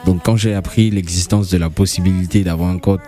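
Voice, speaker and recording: Deep, dark-voiced man. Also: fairly quick, soft background music. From Cameroon. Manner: relaxed, hesitant with fillers and restarts. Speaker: singer, interview guest. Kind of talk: casual interview chat.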